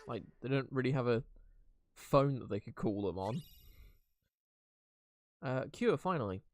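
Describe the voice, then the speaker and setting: A man's voice: mid-range voice, sing-song, unhurried, darkish tone, no echo. A man doing casual live gameplay commentary as a streamer, with dry joking asides. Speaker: game streamer. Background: game music.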